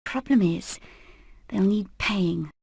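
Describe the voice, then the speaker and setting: A British woman playing a determined, plucky hen in an animated video game, speaking in a brisk, earnest, rallying way. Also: mid-range voice, gravelly, breathy edge, no room sound, studio quality, full character voice. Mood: brisk, planning. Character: determined, plucky female hen leading an escape plan.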